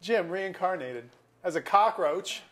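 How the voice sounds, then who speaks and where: A man's voice: high-pitched, lively intonation, measured pace, fairly bright tone. A bitter middle-aged man played by an actor in a stage play reading. He talks in wry, wordy, sarcastic turns, like a small-town lawyer nursing a grudge, in naturalistic dialogue. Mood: bitterly gleeful. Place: auditorium.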